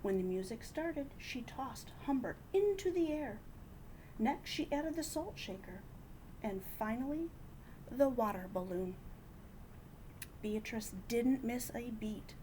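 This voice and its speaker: A woman with a fairly high, very lively voice reads a picture book aloud to children. She takes her time, shaping phrases with dramatic emphasis and hushed moments. A faint rumble sits behind her. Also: slow, rough recording. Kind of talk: expressive read-aloud storytelling.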